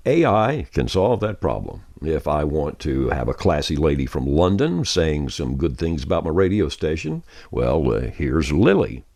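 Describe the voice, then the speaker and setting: A man's voice: a bass voice, sing-song, moderate pace, vocal fry, few pauses, a little mellow. An older man with a folksy, emphatic manner, giving conversational commentary as a radio host. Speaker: radio veteran, podcast host.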